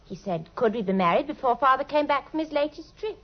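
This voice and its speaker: A lovelorn, trusting young woman with a very high voice. She is breathless, earnest and deferential, devoted and anxious, in old radio drama style. The recording is dull and lo-fi. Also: expressive, quick pace. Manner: breathless, earnest, deferential, pours out her story.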